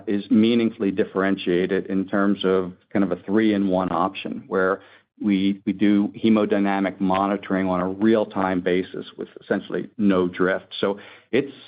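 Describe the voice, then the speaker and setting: Exec guy on an earnings call, lowish voice, measured and careful, dull lofi line. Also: steady tone. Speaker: company executive. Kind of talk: answering analysts on an earnings call. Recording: dull, lo-fi conference call audio.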